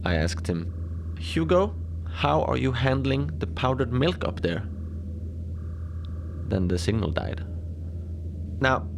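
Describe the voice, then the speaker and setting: A man's voice: lowish voice, background noise. A man playing an unflappable lone facility manager at an Antarctic station, rambling casually and wryly through a cozy horror audio diary. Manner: casual rambling, wry understatement, small chuckles. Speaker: host and narrator of a fiction podcast.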